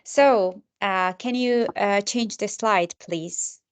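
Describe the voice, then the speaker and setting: Woman running a mentoring call, slow, lots of uhs, kinda halting. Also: mid-range voice. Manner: hesitant, many filler sounds, slow. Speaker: mentorship session host. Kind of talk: online mentorship session.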